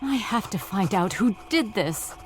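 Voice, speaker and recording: An earnest female detective, played by a woman in a mystery game, reflects aloud in a mid-pitched voice. She sounds determined and devoted, with music clearly playing underneath. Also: animated, measured pace, close to an everyday voice. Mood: worried, determined. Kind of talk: video game narration, thinking aloud.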